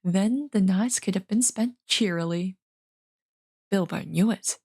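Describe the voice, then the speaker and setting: A woman with a husky voice reading a fantasy novel aloud as dramatic storybook narration. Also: medium pitch, unhurried, dark tone. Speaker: streamer reading an audiobook.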